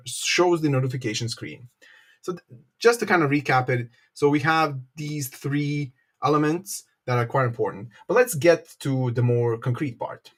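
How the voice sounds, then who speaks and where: A man with a medium-pitched voice presents a live technical tutorial. He explains informally and a little haltingly, pausing often as he walks through each step. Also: a bit bright. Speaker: presenter, company developer advocate.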